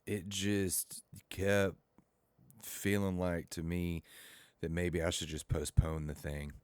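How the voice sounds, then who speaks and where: A man getting over the flu and losing his voice, talking softly and hesitantly with many fillers as he hosts his own channel into his own microphone. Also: deep voice, little pitch movement, takes it slowly, smooth, dry recording. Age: adult with a full-time job and family. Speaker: comic and game creator hosting his channel. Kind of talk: casual talk to camera. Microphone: own microphone, home recording.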